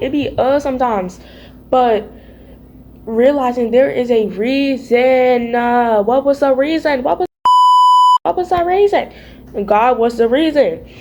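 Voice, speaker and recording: A raspy-voiced teenage girl talking casually about her faith at home, chatty and playful, calling her viewers y'all and baby. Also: fairly high pitch, warm tone, slight background noise. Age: teens. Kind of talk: casual faith vlog chat. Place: home, early morning.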